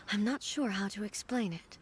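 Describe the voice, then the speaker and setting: A woman voicing a solemn, reserved female ruler in a video game, speaking slowly and softly in a measured way. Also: medium pitch, slightly rough. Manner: slow, measured, soft-spoken.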